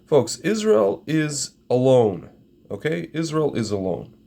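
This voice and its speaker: A man's voice: fairly high pitch, big pitch swings, takes it slowly, gravelly, dark tone. A middle-aged man from Ukraine, a native Russian speaker, giving an opinionated monologue to his viewers, emphatic and repetitive, often calling them "my friends". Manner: emphatic, repeats phrases, addresses viewers as friends. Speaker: commentator.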